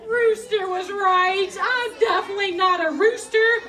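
A woman's voice: very high voice, unhurried, soft background music, a faint crowd, full character voice. A woman playing a timid, plaintive little ghost puppet in a theatrical children's puppet show. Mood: plaintive, dejected. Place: library meeting room, recorded from audience. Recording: echoey room, far off, loud audience.

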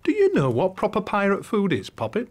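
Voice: medium pitch, wildly swooping pitch, brisk. A performer playing a fond, hearty royal elder in a cartoon, with an affectionate manner.

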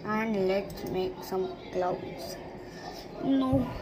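A woman's voice: mid-range voice, extremely slow, background noise. A woman giving a step-by-step digital drawing tutorial, speaking in simple, short, broken instructions over a screen recording. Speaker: art teacher. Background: background music.

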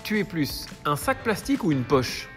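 A man hosting off camera, reading playful quick-fire questions in a brisk manner. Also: fairly high pitch, sing-song, fairly bright tone, faint music behind. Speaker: interviewer host.

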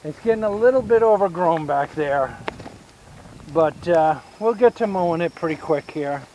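A man in his fifties with a Southern US accent, giving a casual outdoor tour of his homestead and explaining each step with pauses. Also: fairly high pitch, lively intonation, dark tone, highs cut off, slight background noise. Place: outdoors on rural land by a pine forest. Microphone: action camera held at arm's length.

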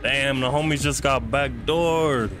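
A man's voice: medium pitch, measured pace, over music. A man giving casual livestream reactions to a game in slangy, repetitive phrases with plenty of swearing. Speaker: game streamer.

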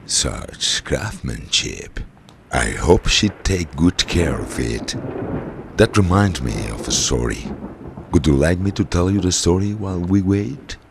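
An actor playing a sinister, courteous storyteller host in an old-time radio horror play, with a theatrical, ingratiating manner. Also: male voice, extremely low pitch, vocal fry, heavily acted. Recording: loud storm noise behind voice. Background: thunderstorm.

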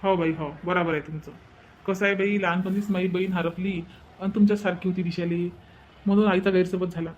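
A man's voice: sits high, steady tone, brisk, slight background noise, natural voice. A man voicing a reasonable, mildly exasperated village son in a cartoon drama, placating and insistent, with a rural Vidarbha Marathi accent. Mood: apologetic. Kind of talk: cartoon family drama dialogue. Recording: dull lo-fi sound.

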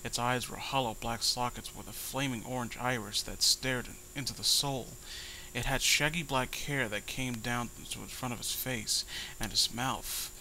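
A man's voice: mid-range voice, bright, forceful tone, a little hiss or hum, low-quality recording. A man reading a horror story aloud with dramatic delivery and sarcastic commentary. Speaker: host reading amateur horror stories.

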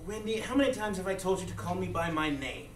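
A man's voice: high voice, expressive, fast. Man acting a polite, echoey, long-suffering judge. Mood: exasperated. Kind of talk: live radio drama acting. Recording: distant echoey live stage, noisy.

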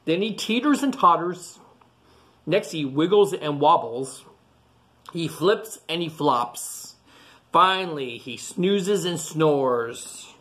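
A man's voice: on the high side, takes it slowly. A man in his forties, a teacher reading a picture book aloud for children and explaining each page, recorded close on a webcam in a classroom. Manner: animated, explains the story to children between pages. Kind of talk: children's storytime read-aloud. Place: classroom, indoors. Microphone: webcam at close range.

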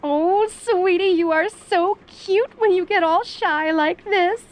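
A woman's voice: very high-pitched, slow pace. A woman playing a cheerful, doting yandere mother who teases in a sugary, coaxing way in an audio roleplay. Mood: playful teasing.

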